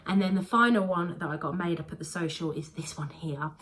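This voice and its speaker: A rambling woman talks through her sewing makes in a casual home vlog, her voice a touch low and stuffy from congestion. She drifts from point to point with plenty of "um"s. Faint room ambience sits behind her. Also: fairly quick, a bit bright, slight background noise. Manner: chatty, rambling, with frequent "um"s. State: congested, a bit bunged up. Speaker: sewing vlogger. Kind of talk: casual chat to camera.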